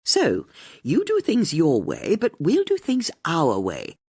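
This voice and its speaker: An audiobook narrator voicing a confident, bossy older woman amateur sleuth with a brisk, emphatic manner. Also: mid-range voice, huge pitch swings, a little mellow, slightly rough, no room sound, clean studio sound, voice pushed up, full character voice. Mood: indignant, imperious.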